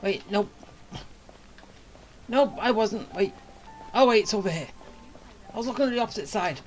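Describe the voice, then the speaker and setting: A woman giving casual gameplay commentary as a streamer, halting and thinking aloud. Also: medium pitch, animated, soft background music, low-quality recording. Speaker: game streamer.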